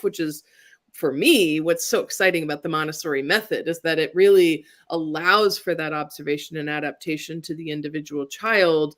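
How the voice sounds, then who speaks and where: Reflective woman hosting a livestream chat. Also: low voice. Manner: reflective, thoughtful, asks open questions. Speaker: livestream host. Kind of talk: conversational interview.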